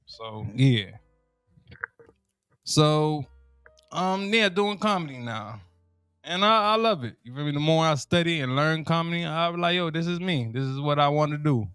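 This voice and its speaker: A man's voice: fairly high pitch, fairly bright tone, smooth, dry recording. A young man from Miami with a Miami accent, telling comic stories in a loose, slangy way, repeating himself and laughing at his own lines. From Miami, Florida. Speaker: comedian. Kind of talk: casual stand-up style storytelling.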